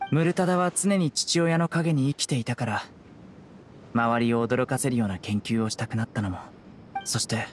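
A man voicing a stern, calm, resolute young judge in an anime game, speaking in a measured, serious, blunt way. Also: mid-range voice, close to an everyday voice. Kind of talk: Japanese anime game voice acting.

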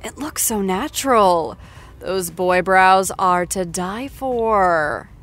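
A woman playing a gushing, excitable TV after-show host who interviews a star in an effusive manner. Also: medium pitch, slow pace. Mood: gushing, playful. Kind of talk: scripted celebrity interview show.

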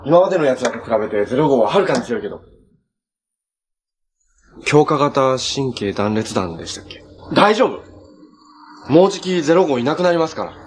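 Man playing earnest young hero, very lively intonation. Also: medium pitch, moderate pace, long pauses, close to an everyday voice. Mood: warm, resolute. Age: young adult. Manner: polite, upbeat, earnest. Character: cheerful, earnest young male hero, polite and selfless. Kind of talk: live-action TV drama acting. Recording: TV soundtrack with faint noise.

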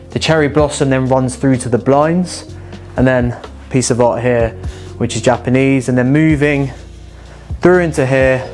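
A man's voice: medium pitch, darkish tone. A young man with an upbeat, enthusiastic manner, casually showing viewers around a large house as he walks from room to room. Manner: upbeat, enthusiastic, conversational while walking. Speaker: house tour host. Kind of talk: casual walking house tour. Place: large house, indoors and garden.

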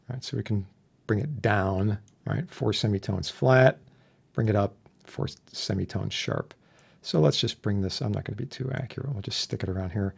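Guy with a gravelly lowish voice walking thru audio software, real casual. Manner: informal, explanatory with homely analogies. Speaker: instructor demonstrating audio software. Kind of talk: casual software tutorial narration. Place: indoor voice-over for a screen recording.

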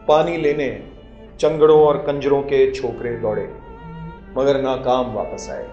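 Slow, measured male poet in an echoey hall. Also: medium pitch, a little mellow. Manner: slow, measured recitation with rising emphasis. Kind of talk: reciting free verse at a podium. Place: large hall with windows. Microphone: podium microphone.

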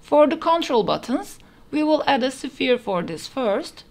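A woman's voice: high voice, very animated, measured pace, gravelly, a little mellow. A woman narrating a step-by-step 3D modeling tutorial in plain, instructional phrasing, recorded as a voice-over. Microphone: voice-over over screen recording.

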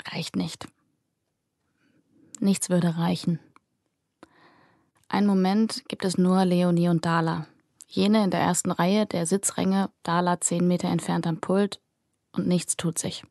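A woman's voice: fairly low pitch, flat delivery, measured pace, vocal fry, long pauses, a little mellow, no room sound. A woman narrating a fantasy audiobook in a close, inward, present-tense storytelling manner.

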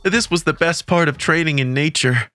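A man's voice: on the high side, moderate pace, pushed and bright. Man playing an earnest, mild teenage boy. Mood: narrating. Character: earnest, kindly teenage boy narrating his story. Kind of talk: scripted narration and dubbed manga dialogue. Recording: music behind the voice.

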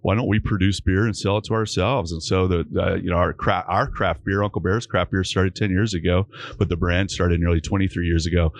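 A middle-aged man with a very low voice talks in a folksy, rambling way, dotting his answers with fillers. The sound is close and dry, taken on a microphone at a brewery. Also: steady tone, fairly quick, hardly any pauses, no echo. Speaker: brewery owner. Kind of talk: interview answers. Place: brewery floor. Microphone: studio microphone on arm.

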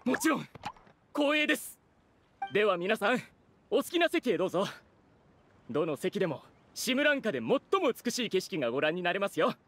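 非常に高い声の積み木の小人車掌. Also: male voice, sits high, big pitch swings, fairly quick, pauses often, fairly bright tone, a little hiss or hum, full character voice. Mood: eager, polite. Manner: formal, deferential service speech. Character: small toy-block train attendant, polite, flustered and eager. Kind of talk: Japanese anime game acting. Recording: faint music behind.

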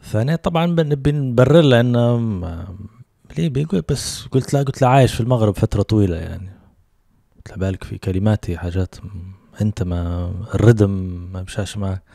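A man in his thirties with a Libyan Arabic accent hosts a podcast, talking in a relaxed, storytelling way. His voice sits low and mellow. He speaks close to a handheld mic in a dry, dead-sounding room. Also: creaky, no room sound. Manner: relaxed storytelling with quick asides. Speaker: podcast host. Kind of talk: casual conversation. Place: small home room with soft lighting. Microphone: handheld mic with headphones.

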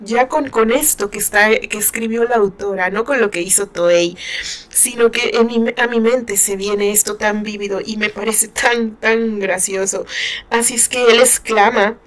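A woman's voice: medium pitch, unhurried. A middle-aged woman, chatting casually about a novel she reads aloud, laughing often and using many fillers. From Mexico. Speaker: book reviewer. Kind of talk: casual chat, reading and commenting on a novel.

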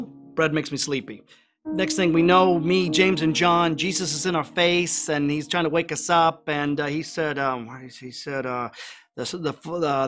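A man playing an anguished, guilt-ridden fisherman disciple in a first-person dramatic monologue, halting and conversational like an everyman. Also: a little high, lightly acted. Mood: sheepish.

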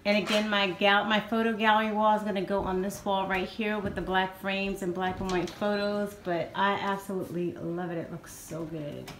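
A woman's voice: medium pitch, little pitch movement, moderate pace, hardly any pauses, a bit bright, slight background noise. A woman, chatting upbeat to her viewers while she films on a phone at arm's length in her house and car. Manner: chatty and upbeat, addresses viewers as "you guys". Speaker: vlogger. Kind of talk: casual home vlog chat. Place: house rooms and a parked car. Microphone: handheld phone camera at arm's length.

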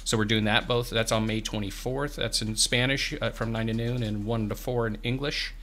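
A man's voice: fairly low pitch, fairly even intonation, normal speed, fairly bright tone. A man in his fifties, a guest in a small radio studio, explaining programs earnestly and often restarting his words. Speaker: farm bureau representative, guest. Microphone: studio microphone on boom arm, headphones.

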